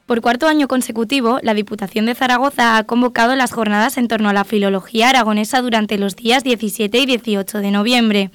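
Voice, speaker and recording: A woman's voice: a little high, fairly even intonation, fast talker, hardly any pauses, clean tone, soft background music. A woman with an accent from Spain narrating a scripted news report as a radio reporter. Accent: Spanish from Spain.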